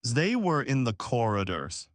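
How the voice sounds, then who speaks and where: A man narrating a science fiction story in a measured, even storytelling manner. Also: mid-range voice, no room sound, clean studio sound. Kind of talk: scripted audiobook narration.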